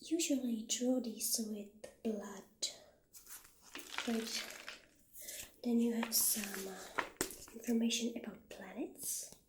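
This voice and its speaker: Slow, soft-spoken witch shopkeeper played by a woman. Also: on the high side, steady tone. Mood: calmly explaining. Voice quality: soft, breathy, half-whispered. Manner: gentle, hesitant, halting delivery. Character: friendly, humble witch shopkeeper selling magic wares. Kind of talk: soft-spoken ASMR roleplay. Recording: roomy echo, clear background noise.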